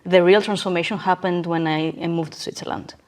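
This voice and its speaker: A woman's voice: lowish voice, fairly even intonation, fairly quick. A woman reflecting on personal experience in casual podcast conversation, emphatic and often checking in with the listener. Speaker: podcast guest.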